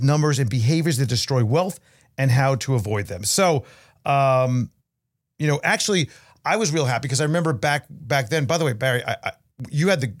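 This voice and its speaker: A man hosting a long-running interview podcast, talking in a friendly, rambling way with many restarts. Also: mid-range voice. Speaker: podcast host.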